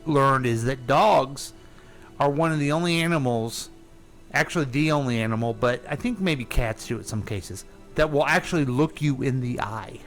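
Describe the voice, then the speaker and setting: A man's voice: medium pitch, moderate pace, faint music behind. A man hosting a radio interview as a casual chat, asking questions in an informal, inquisitive way.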